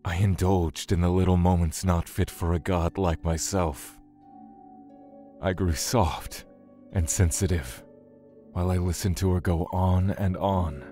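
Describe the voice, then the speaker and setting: Low, husky god narrating slowly. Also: male voice, dark tone, heavily acted. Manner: slow, solemn, brooding storytelling. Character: weary male god recounting his love and exile. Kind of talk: dramatic audio drama narration.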